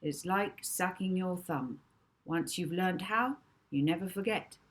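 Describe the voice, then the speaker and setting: A woman's voice: lowish voice, unhurried, slightly rough. A woman voicing a sensible, plain-spoken young boy in a children's audiobook. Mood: reassuring. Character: young boy, sensible, matter-of-fact friend.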